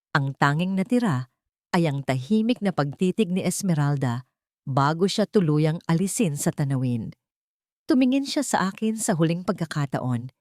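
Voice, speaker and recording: A woman's voice: low-pitched, darkish tone, no echo, studio quality. A woman with a Filipino accent reading a scripted dramatic story in the first person as a narrator.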